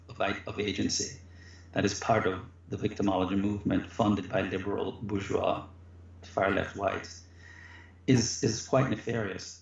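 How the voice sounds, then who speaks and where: A man, a guest professor, speaking in a measured, deliberate, earnest way over a remote call. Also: on the low side, gravelly. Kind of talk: interview conversation. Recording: echoey, dull lo-fi remote call audio.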